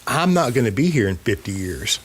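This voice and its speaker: A man's voice: mid-range voice, very animated, fairly quick, breathy edge. A man in his fifties hosting a podcast, talking casually and emphatically, repeating phrases to drive a point home. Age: 50s. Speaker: podcast host.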